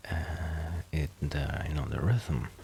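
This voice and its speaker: A man chatting casually and intimately in an ASMR roleplay, soft and hesitant, self-deprecating, often dropping close to a whisper. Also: very deep voice, fairly even intonation, takes it slowly, vocal fry, slight background noise. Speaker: ASMR performer and amateur musician friend.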